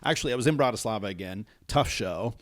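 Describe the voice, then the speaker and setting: A man from the Netherlands with an American accent, talking casually as a guest on a podcast. His voice sits fairly low, and it is recorded close and dry. He often laughs as he tells his stories. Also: lively intonation, fast, no echo. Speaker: comedian guest.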